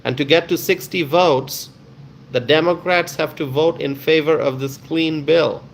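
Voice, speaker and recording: Man, Bangladeshi accent, unhurried pace, friendly live-stream host, welcoming, repetitive, faint noise behind the voice. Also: fairly high pitch, fairly even intonation. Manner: friendly, welcoming, repeats himself. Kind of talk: casual live-stream chat with viewers.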